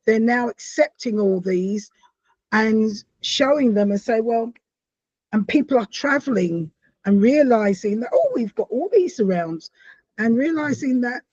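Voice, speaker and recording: A woman from South America hosting a podcast interview, stopping and restarting her phrases and breaking into bright, lively exclamations. Her voice has a husky edge. The sound is dry and somewhat dull. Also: fairly high pitch, no room sound. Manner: halting, repeats words, animated exclamations. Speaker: podcast host. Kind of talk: conversational interview.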